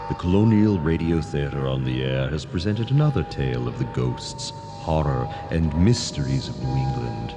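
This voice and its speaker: Super deep slow radio announcer guy reading scripted outro, loud music under. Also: lively intonation. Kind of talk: scripted radio anthology sign-off.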